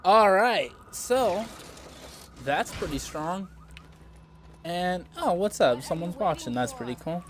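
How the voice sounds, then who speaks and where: A man's voice: high voice, expressive, slow, pauses often, a bit bright. A young man with a congested, stuffy voice, casually commenting on a video game as he plays, rambling and thinking aloud with some swearing. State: congested from a cold. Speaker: gameplay streamer.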